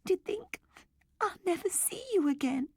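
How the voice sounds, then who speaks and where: A woman voicing a little girl who pleads and sobs over losing her robot doll, her voice pitched high. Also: lively intonation, measured pace, dark tone, no room sound, clean studio sound. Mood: tearful pleading.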